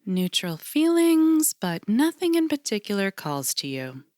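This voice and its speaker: Slow female agency interviewer, lively intonation, syrupy pleasant, patronizing, polished, dry close sound, audio drama. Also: medium pitch, no room sound. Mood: condescending, probing.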